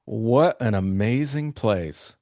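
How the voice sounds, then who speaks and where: A man's voice: on the low side, animated, a little mellow, no room sound. Effusive male host, dry close sound. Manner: effusive, quick to praise. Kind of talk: video-call interview and home tour.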